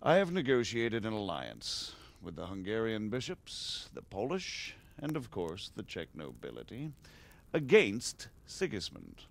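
A slick scheming noble, lively up and down voice, smooth talker. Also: male voice, on the low side, a little slow, heavily acted. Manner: smooth, persuasive, flares up when pressed. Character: shrewd scheming middle-aged male margrave. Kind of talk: video game cutscene dialogue.

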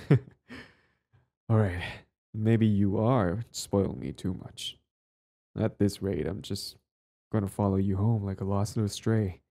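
A man's voice: fairly low pitch, mellow. A man playing a lovestruck, clingy young bully in intimate romance roleplay audio, stammering, sighing and pleading.

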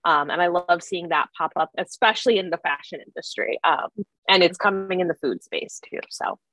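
A woman's voice: fairly low pitch, moderate pace, fairly bright tone, dry recording, poor audio. A middle-aged woman speaking casually as an expert panelist on a video call. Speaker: expert panelist, environmental program director. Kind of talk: casual, conversational panel talk. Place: indoor room on a video call.

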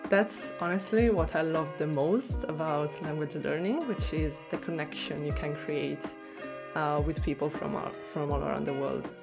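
A young woman with an Italian accent, talking casually to camera in a small room about learning a language, expressive and quick to laugh. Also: sits low, creaky, music in the background. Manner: chatty, expressive, laughs easily.

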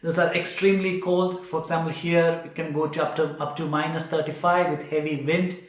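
A man's voice: fairly high pitch, flat delivery, brisk. A man in his thirties from India, with an Indian accent, talking to the camera in a kitchen with many fillers and restarts. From India, Kerala. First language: Malayalam. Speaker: vlogger. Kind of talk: casual talk to camera. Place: indoors, small kitchen room. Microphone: camera at a short distance. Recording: echoey room.

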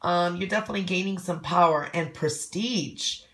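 Hoarse woman with a deep voice, chatty coffee-cup fortune reader, relaxed and rambling. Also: moderate pace. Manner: loose and conversational, many "um"s and "okay?"s. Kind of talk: casual fortune reading.

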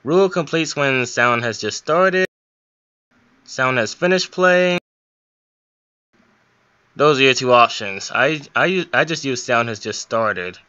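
A man's voice: mid-range voice, expressive, slow pace, long pauses, pushed and bright, clean tone, dry recording, lo-fi sound. A young man giving an informal, step-by-step screen tutorial with a somewhat hesitant way of explaining. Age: young adult. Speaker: hobbyist game modder giving a tutorial.

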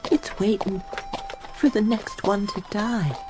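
Woman acting a slow-speaking, worried pioneer mother. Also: medium pitch, fairly even intonation, lightly acted. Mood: tense, weary. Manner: slow, anxious. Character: weary, worried pioneer mother of a sick child. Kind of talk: radio drama acting. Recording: clear background ambience noise.

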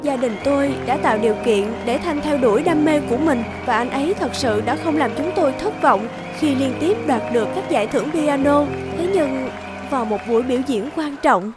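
Caring young woman, animated drama, high pitch, earnest, gentle, music behind. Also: fairly quick. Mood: sad, earnest.

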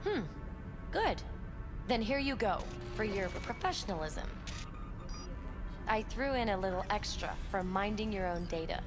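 A woman's voice: medium pitch, expressive, unhurried, pauses often, music in the background, lightly acted. A woman playing a guarded, uneasy corporate professional in a video game, clipped and evasive in her speech. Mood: guarded, curt. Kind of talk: naturalistic video game voice acting.

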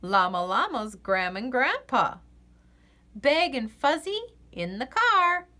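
A woman reading a children's picture book aloud in an expressive, sing-song way. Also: sits high, slow pace. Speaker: children's story reader.

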